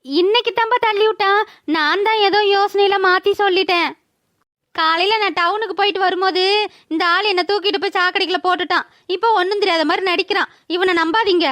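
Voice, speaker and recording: Woman as a very high-pitched petulant village daughter. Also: fairly even intonation, quick pace, a bit bright, no room sound. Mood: accusing. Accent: rural Tamil Nadu. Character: young village daughter, vain, petulant, accusing. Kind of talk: cartoon village drama voice-over.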